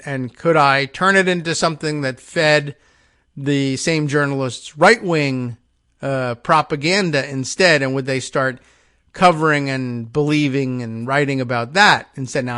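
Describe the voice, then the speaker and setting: A man with a slightly high, sounding voice reads news copy and keeps stopping to add wry, offhand remarks. The sound is close and dry, with no room around it. He moves between a reading tone and loose, musing commentary. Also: a bit bright, no room sound. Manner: sardonic asides between read passages. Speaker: political podcast host.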